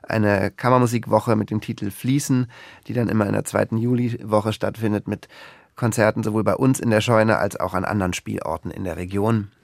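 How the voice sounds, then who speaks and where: A man's voice: on the low side, steady tone, a little fast, gravelly, a little mellow, no room sound, studio quality. A man from Berlin, a pianist guesting on a radio interview, talking casually and thoughtfully with plenty of fillers. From Berlin, Germany. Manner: thoughtful, rambling with many fillers.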